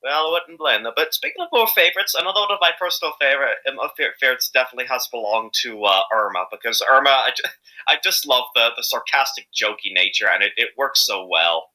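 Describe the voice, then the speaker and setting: A man speaking as an amateur voice actor being interviewed, chatting casually in a jokey, halting, rambling way. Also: mid-range voice, animated, sharp tone, poor audio.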